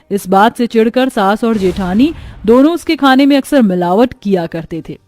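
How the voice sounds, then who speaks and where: A woman's voice: fairly high pitch, darkish tone, no room sound. A woman giving scripted narration of a family story.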